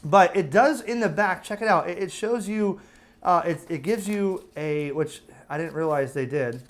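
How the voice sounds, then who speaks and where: A man's voice: sits high, normal speed. An American man giving a casual, unscripted gadget review, chatting and explaining as he goes and often checking in with the listener. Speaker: product reviewer.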